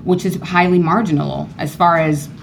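A woman born in Cuba speaks as a guest in a radio interview, with a voice that sits slightly low. She talks earnestly and grows animated, leaping higher when she presses a point. A faint low rumble sits under her voice. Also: normal speed, gravelly, low-quality recording. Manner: earnest, animated, rising high when emphatic. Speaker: professor and author, guest.

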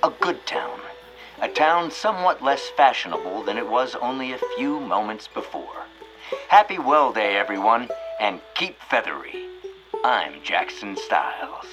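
A showy announcer narrating a storybook audio drama. His mid-pitched voice moves grandly up and down over prominent music. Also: sing-song, unhurried, low-quality recording. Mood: grand sign-off.

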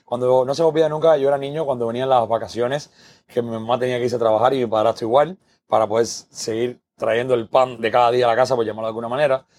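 A man's voice: medium pitch, steady tone, quick pace, darkish tone. Cuban male guest, earnest storyteller, mid-range voice, repeats himself for emphasis. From Cuba. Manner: earnest, repeats words while building a point. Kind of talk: podcast interview, personal story.

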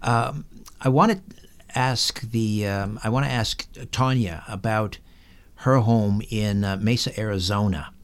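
A man hosting an interview, putting questions and points to guests in a measured way. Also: on the low side, smooth. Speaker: interviewer, show host.

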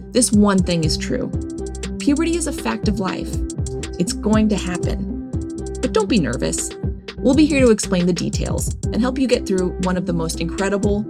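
A woman hosting an educational podcast, reading a scripted narration in a gentle, reassuring manner. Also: on the low side. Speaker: podcast host. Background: background music.

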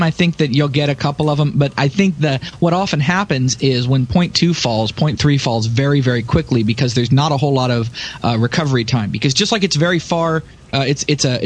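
A man in a casual gaming podcast discussion, explaining strategy in a detailed way. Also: mid-range voice. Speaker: podcast panelist.

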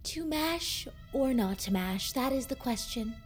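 A woman's voice: high voice, lively intonation, measured pace, faint music behind. A young woman playing an earnest, nerdy girl who sounds unsure and quirky in an audio drama.

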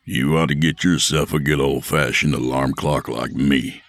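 A man doing a gruff, domineering bully of a man who speaks slowly and with a threat under every word. The voice sits extremely low and sounds close and dry. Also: fairly even intonation, a bit bright, no echo. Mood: menacing.

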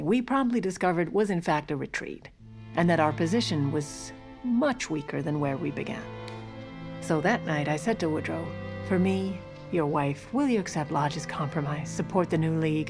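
A woman's voice: low-pitched, moderate pace, over music. An actress playing a self-important, sardonic former First Lady telling her memoirs in an audio drama, theatrical and grand. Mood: grand, solemn.

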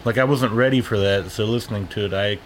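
A man's voice: lowish voice, fast. Casual rambling man, audible background noise. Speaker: sound engineer interviewee. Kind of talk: casual interview chat.

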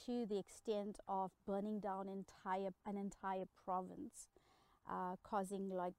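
A South African woman speaking as an interview guest, earnest and heartfelt, pausing often with fillers. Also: medium pitch, warm tone, no room sound, rough recording. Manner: halting, many filler pauses, heartfelt.

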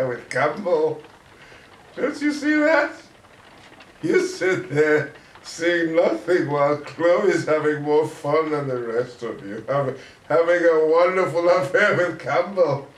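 Audio play: washed-up middle-aged doctor, drunk, self-pitying, rambling slowly, recorded off-mic with noise. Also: male voice, on the high side, animated. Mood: taunting, drunk. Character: bitter, drunken former doctor wracked with guilt. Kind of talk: audio drama acting. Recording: roomy, distant, noisy audio drama recording.

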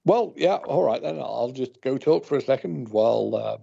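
British male co-host, husky and mellow, wry casual chat over slightly dull audio. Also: mid-range voice, animated, normal speed. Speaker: podcast co-host.

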